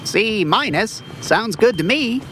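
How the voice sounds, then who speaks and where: A woman's voice: medium pitch, expressive, slow, fairly bright tone, a little hiss or hum. A woman playing a persnickety, sarcastic detective sidekick in a comedic radio drama, with dry, sardonic wit.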